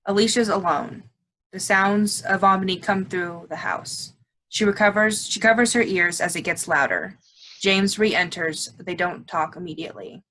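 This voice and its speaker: A woman's voice: medium pitch, moderate pace, pushed and bright, rough recording. A woman reading stage directions as scripted narration, with slight noise in the recording.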